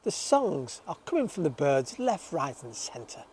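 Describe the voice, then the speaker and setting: A man hosting an allotment gardening show outdoors, chatting cheerfully to viewers and reading their comments, his voice still a little croaky after an illness. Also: a little high, big pitch swings, measured pace, darkish tone. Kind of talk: casual chat to camera. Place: outdoors on an allotment, birdsong around.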